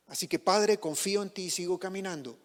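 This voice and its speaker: A man from Chile, a pastor, preaching a sermon, pushing his voice up on questions and sinking it low to drive points home. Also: fairly quick, long reverb tail. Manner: passionate preaching, rising on questions and dropping low for emphasis. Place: church.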